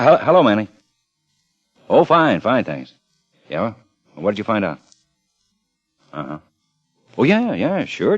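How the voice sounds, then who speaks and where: An actor playing a dogged, weary American police lieutenant in 1950s radio crime drama, with clipped, terse questioning. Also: male voice, low-pitched. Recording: dull old radio recording, music behind.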